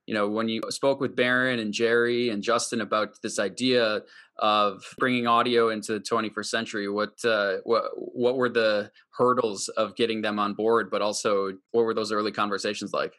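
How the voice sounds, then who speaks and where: A man's voice: fairly low pitch, little pitch movement, moderate pace, bright, forceful tone. A man asking questions as the interviewer on a conversational podcast.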